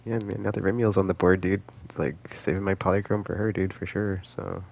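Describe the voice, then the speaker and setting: A man's voice: deep voice, level tone, brisk, creaky, darkish tone. A man giving casual live game stream commentary, thinking aloud in a rambling, hesitant, slangy way.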